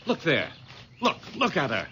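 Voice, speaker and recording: A man's voice: a little high, a little slow. An actor playing an eager, friendly young man in a radio drama. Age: young adult. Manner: eager and animated. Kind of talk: 1970s radio drama acting. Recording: noisy old radio recording.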